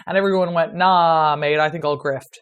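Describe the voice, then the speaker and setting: A woman's voice: low voice, steady tone, normal speed, dull audio. A woman with an Irish accent, a guest on a podcast, talking casually in a sardonic, sweary way and laughing often. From Ireland. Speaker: podcast guest, medieval historian.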